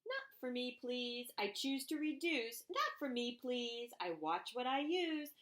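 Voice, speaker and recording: A woman reading a rhyming children's picture book aloud, expressively and deliberately, with emphasised phrases. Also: on the high side, big pitch swings, fairly bright tone.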